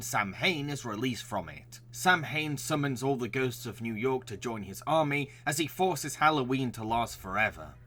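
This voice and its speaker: A man's voice: medium pitch, pushed and bright. A man reading scripted narration as a reviewer presenting cartoons. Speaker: video essayist reviewing cartoons.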